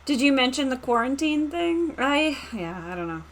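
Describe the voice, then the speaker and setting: A woman's voice: high voice, big pitch swings, moderate pace, a little hiss or hum. A woman speaking casually as a player in a tabletop roleplaying game.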